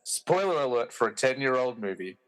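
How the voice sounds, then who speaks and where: A man's voice: mid-range voice, sing-song, fairly quick. A man narrating a scripted comic radio play with wry, self-aware delivery.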